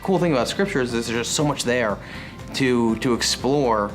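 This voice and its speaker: A thirty-year-old man giving a reflective, unhurried testimonial about his church group. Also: medium pitch, poor audio. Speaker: church young adults group member. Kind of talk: testimonial interview. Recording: music bed underneath.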